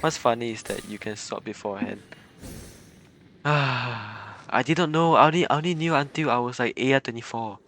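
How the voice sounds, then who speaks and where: A man live-streaming a game, giving casual, halting, reactive commentary with a self-deprecating streak. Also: medium pitch, fairly bright tone. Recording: game music behind the voice. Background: game music.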